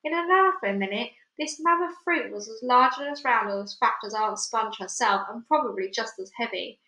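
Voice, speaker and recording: A woman reading a children's novel aloud in expressive storytelling narration. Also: on the high side, moderate pace. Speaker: storybook reader. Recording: echoey room.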